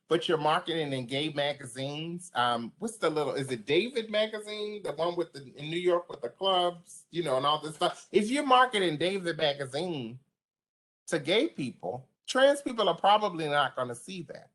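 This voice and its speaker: Deep-voiced woman, lively emphatic trainer. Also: moderate pace. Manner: passionate, conversational, emphatic. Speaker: trainer for service providers. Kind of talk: online training presentation. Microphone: video call.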